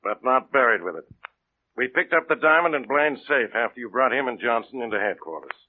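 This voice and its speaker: A man's voice: mid-range voice, expressive, fairly quick, natural voice. Man playing a dry, sarcastic police inspector. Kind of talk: 1940s radio acting. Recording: narrow phone-like old radio broadcast.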